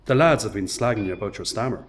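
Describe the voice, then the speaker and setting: Man acting as patient irish tradesman mentor in a radio play, lively up and down voice, some noise behind. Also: on the low side, quick pace, lightly acted. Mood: encouraging. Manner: encouraging, explanatory, informal. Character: patient, kindly male tradesman mentoring a young apprentice.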